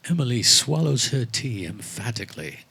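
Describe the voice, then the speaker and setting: A man's voice: medium pitch, very animated, moderate pace, creaky, pushed and bright, husky. A man narrating a scripted comic radio play before a live audience. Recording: music behind, live backyard show.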